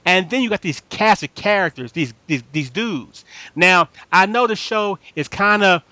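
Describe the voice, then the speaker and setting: Guy hosting a fan podcast, kinda high bright voice, slangy n emphatic, dry close sound. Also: lively intonation, moderate pace, no echo. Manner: emphatic, slangy, repeats himself and often checks for agreement. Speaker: podcast host reviewing anime. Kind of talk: casual fan chat.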